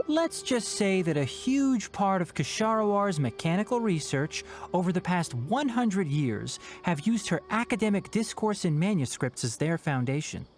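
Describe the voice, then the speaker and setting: Video game role: composed young male mentor, measured and caring, expressive pitch, music underneath. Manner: measured, thoughtful, explanatory. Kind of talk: scripted video game dialogue. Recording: music behind the voice.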